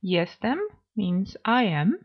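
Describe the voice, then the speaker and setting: A woman co-hosting a scripted beginner language lesson podcast, speaking in a clear, measured, instructive way on a slightly dull lo-fi recording. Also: mid-range voice, animated. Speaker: language teacher, podcast co-host.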